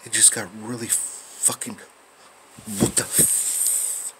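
A man in his forties filming a ghost-hunting vlog on a handheld phone in a dark basement, talking low and whispering, swearing often. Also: level tone, slow pace, slight background noise, poor audio. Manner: hushed, swearing, whispering at times. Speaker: vlogger. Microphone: handheld phone camera.

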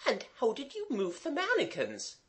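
A narrator voicing a polite French governess with a bright, formal manner for a storybook. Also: high voice, wildly swooping pitch, moderate pace, voice pushed up, heavily acted. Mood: impressed, curious. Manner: bright, formal, quaintly phrased.

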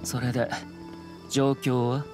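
A man's voice: medium pitch, completely flat delivery, moderate pace, close to an everyday voice. An actor playing an elegant, unruffled young noble swordsman in an anime game, formal, polite and coolly condescending. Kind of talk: Japanese anime game trailer acting. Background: very loud trailer music.

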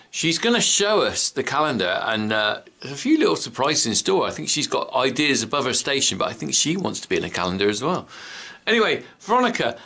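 A man's voice: medium pitch, bright, forceful tone. A middle-aged English man hosting a jokey farm vlog in a chummy, teasing way. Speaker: farmer vlogger host. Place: living room indoors.

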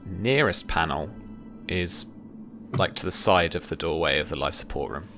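A man's voice: low-pitched, normal speed. A young man running a tabletop game as game master, narrating and talking rules in a casual, hesitant way. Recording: music behind the voice.

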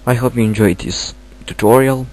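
A man with a Romanian accent, not a native English speaker, halting through a screen-recorded software tutorial with many fillers. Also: mid-range voice, slight background noise. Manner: hesitant, halting, filler-heavy. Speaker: tutorial maker.

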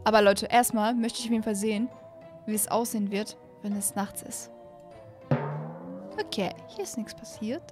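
A woman in her twenties doing excitable, gushing let's-play commentary for viewers, sprinkling in English words, recorded on a close desk microphone in a small room. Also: mid-range voice, moderate pace, long pauses. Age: 20s. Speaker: host of a gaming channel. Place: small home gaming room, indoors. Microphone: close desk microphone on an arm, with headset. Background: background music.

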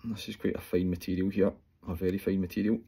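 A Scottish man in his forties talks through tying a fly, relaxed and unhurried, with lots of ums along the way. His voice sits low and a little husky. He is recorded close up on a phone in a small room at home. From Scotland. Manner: relaxed, explains step by step with fillers while working. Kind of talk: casual talk-through tutorial. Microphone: phone camera close up.

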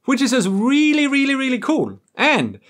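Animated, high-pitched man chatting casually. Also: moderate pace. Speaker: music gear video creator, host. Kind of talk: casual demo vlog. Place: small home studio room full of instruments. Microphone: desk microphone on a boom arm. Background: synth music.

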